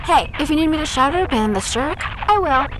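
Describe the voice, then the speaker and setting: Excitable high-voiced loyal fangirl. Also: a little fast. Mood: boastful, eager. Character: excitable young female fangirl chat moderator, fiercely loyal, foul-mouthed. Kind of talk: anime-style action horror audio drama. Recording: clear rumbling battle noise behind.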